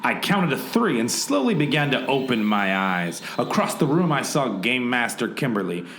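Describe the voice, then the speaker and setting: A man's voice: medium pitch, very animated, moderate pace, fairly bright tone, slight background noise. A man playing a hard-boiled hero who narrates a noir parody audio drama, mock-serious, wry and dramatic. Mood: urgent narrating. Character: hard-boiled male detective hero, earnestly melodramatic. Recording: roomy echo, music underneath.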